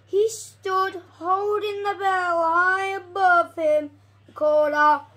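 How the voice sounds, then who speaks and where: A young reader reading a storybook aloud haltingly, stumbling over and repeating words. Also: very high-pitched, steady tone, pushed and bright.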